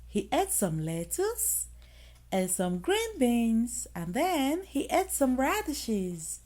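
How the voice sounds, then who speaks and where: A woman reading a children's bedtime story aloud in an expressive manner, with dramatic emphasis. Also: sits high. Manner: expressive, dramatic emphasis with acted sound effects.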